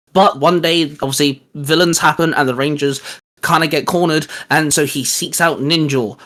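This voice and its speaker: A man's voice: mid-range voice, sharp tone. A young man, a guest artist, explaining things in informal, rambling chat.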